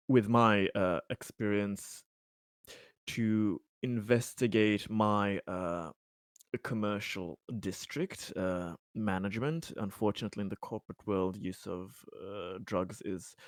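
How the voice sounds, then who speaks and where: A man playing a tabletop roleplaying game, improvising his character's actions in a halting way, searching for words. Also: lowish voice, dry recording, clean studio sound.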